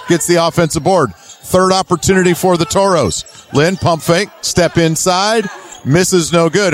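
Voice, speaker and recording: A man doing live basketball play-by-play in clipped running phrases, with emphatic calls. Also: a little high. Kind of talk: live sports commentary. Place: courtside at an indoor basketball game.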